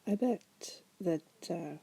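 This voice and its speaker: Woman acting a breathy, very dark-toned seductive demoness. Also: on the low side, expressive, slow, heavily acted, rough recording. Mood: flirtatious warning. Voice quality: soft, breathy. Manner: teasing, coaxing, condescending, often dropping to a whisper. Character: bored, manipulative, flirtatious caged female demon prisoner. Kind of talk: ASMR roleplay monologue to the listener.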